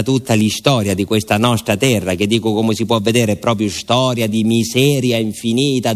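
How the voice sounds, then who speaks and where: A man's voice: medium pitch, close to an everyday voice. Garrulous older southern Italian village postman played by an actor. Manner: rambling, folksy, repeats himself, addresses listener deferentially. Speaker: actor in a radio theatre monologue. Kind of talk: theatrical storytelling monologue in colloquial dialect-tinged speech.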